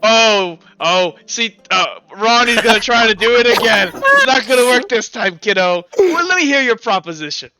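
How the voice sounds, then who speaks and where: Man voicing a high, animated, wary guard. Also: normal speed, hardly any pauses, heavy background noise, full character voice. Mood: mocking.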